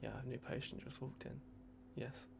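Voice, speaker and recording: A man in an ASMR roleplay, playing a polite, flustered vampire at a clinic in a hushed, hesitant, apologetic way. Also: moderate pace, noisy background.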